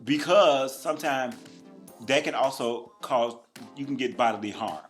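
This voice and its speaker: A man co-hosting a casual chat podcast, expressive and playful. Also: a little slow, soft background music. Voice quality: naturally deep voice. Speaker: podcast co-host.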